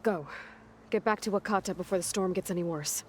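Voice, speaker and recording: An actress playing a determined, self-reliant young warrior heroine in a video game, direct and questioning. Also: female voice, fairly low pitch, brisk, slight background noise, close to an everyday voice. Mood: urgent. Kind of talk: video game character acting.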